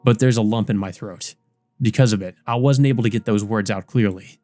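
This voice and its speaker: A man's voice: fairly low pitch, fairly quick, mellow, dry recording, soft background music. A man reading a visual novel aloud as dramatic scripted narration. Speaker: let's play narrator.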